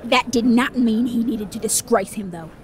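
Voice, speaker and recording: A woman's voice: fairly high pitch, normal speed, a little mellow, natural voice. Western outlaw forger woman actor: resentful, shifty, theatrical, raised register, light background noise. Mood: bitter. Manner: evasive, bitter, theatrical. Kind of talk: western audio drama acting.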